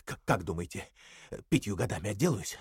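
A naive, anxious party faithful in an audio drama. He stammers and confides eagerly, the pitch leaping about in pleading bursts. The sound is very dry. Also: expressive, brisk, darkish tone, slightly rough, dry recording, heavily acted. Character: Parsons, simple, eager, frightened party loyalist.

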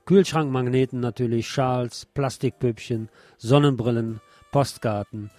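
A man's voice: mid-range voice, fairly even intonation, unhurried, a little mellow, no echo. A man hosting a radio show, reading a scripted literary essay in short clipped phrases with a sardonic, theatrical delivery. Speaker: radio host. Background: music.

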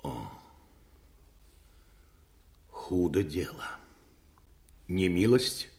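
Casting: loyal noble officer, lowish expressive voice, earnest, dramatic radio play. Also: male voice, very slow, vocal fry, heavily acted. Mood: worried. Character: loyal princely officer, earnest confidant of an old commander. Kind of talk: Soviet-era radio drama acting.

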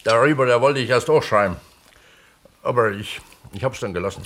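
A male actor plays a modest middle-aged villager in a radio drama. He speaks in plain, simple words with a halting, thoughtful delivery. His voice sits at an ordinary male pitch. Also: fairly bright tone, natural voice. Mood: hesitant, earnest. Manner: halting, plain-spoken, hesitant. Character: modest, thoughtful resettled villager who wrote a novel. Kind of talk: naturalistic radio play dialogue. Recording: 1970s East German studio radio drama.

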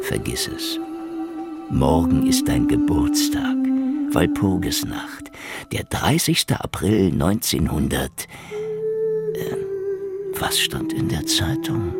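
An actor playing a weary, sardonic middle-aged Soviet secret police investigator in a noir radio play, brooding and dry, often dropping to a near-whisper. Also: male voice, sits low, exaggerated voice. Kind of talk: hardboiled noir radio drama acting with inner monologue. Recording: studio radio play with music underneath.

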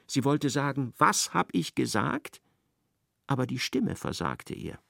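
Ein Mann als Erzähler eines literarischen Hörspiels. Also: male voice, medium pitch, big pitch swings, unhurried, gravelly, a bit bright, no echo, clean studio sound, full character voice. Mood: measured narration. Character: expressive male narrator of a literary radio play. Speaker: narrator. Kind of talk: literary radio play narration.

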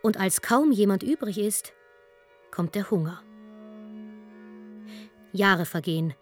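A woman's voice: medium pitch, animated, slow. Woman narrating history dramatically over faint music. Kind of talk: scripted dramatic storytelling narration.

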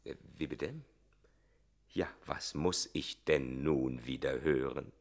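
An actor playing a fussy, slow-witted landlord in a 1960s German radio comedy, formal, pedantic and easily affronted. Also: male voice, mid-range voice, pushed and bright.

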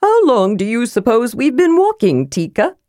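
Small male kender for a fantasy audiobook, played by a woman: high, animated, curious and childishly earnest. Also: moderate pace, no echo, studio quality. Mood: restless, bored.

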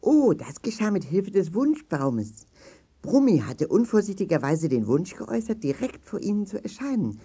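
Courteous doctor, children's audio play, mellow, raspy, animated intonation, careful phrasing. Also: female voice, mid-range voice, moderate pace. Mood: helpful. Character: polite, helpful, articulate doctor friend, leader.

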